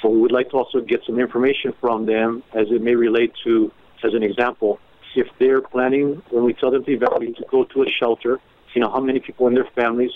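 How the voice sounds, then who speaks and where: A man giving a measured, halting update as an official at a media briefing, with many fillers and restarts. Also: medium pitch, dry recording. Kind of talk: informal media briefing on a conference call. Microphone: phone. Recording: tinny phone line with faint noise.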